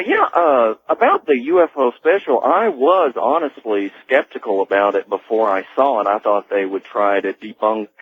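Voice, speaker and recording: Male radio caller, mid-pitched, slightly rough voice, polite and measured, heard through a narrow phone line. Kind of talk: conversational opinion on a radio call-in. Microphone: telephone.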